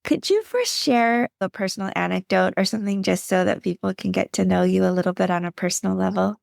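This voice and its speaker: A woman hosting a podcast interview, introducing her guest and asking measured, polite questions. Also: medium pitch, vocal fry, no room sound, studio quality. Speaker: podcast host.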